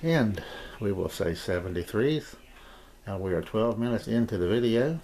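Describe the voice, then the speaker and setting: A man's voice: lowish voice, animated, moderate pace, a little mellow. An older man giving a casual, unscripted tour of his radio room, rambling and hesitant as he repeats himself. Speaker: amateur radio hobbyist giving a shack tour. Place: small home radio room, indoors. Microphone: handheld camera's built-in mic. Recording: handheld camcorder with clear background noise.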